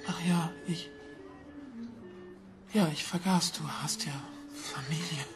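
Radio drama: wounded sarcastic mistress, lowish slow voice, music behind. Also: female voice, fairly even intonation. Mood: bitterly sarcastic. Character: hurt, bitter female lover.

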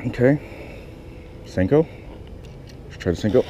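A man's voice: medium pitch, expressive, measured pace, mellow, highs cut off, a little hiss or hum. A man talking casually to himself while fishing from a kayak on a lake, swearing now and then, recorded on a head-mounted action camera. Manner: muttering to himself, swears often. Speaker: fishing vlogger. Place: small kayak on a lake outdoors.

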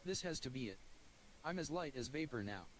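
A man's voice: mid-range voice, expressive, a little fast, a little hiss or hum, poor audio. Synthetic male voice reading a story. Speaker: text-to-speech reader. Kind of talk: first-person story narration.

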